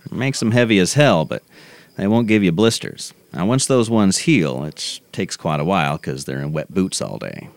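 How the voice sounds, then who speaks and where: A man's voice: deep voice, very animated, moderate pace, vocal fry, full character voice. A man playing a plain-spoken, folksy 1890s logger in a film drama, matter-of-fact in manner. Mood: folksy, wry. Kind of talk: storyboard film acting.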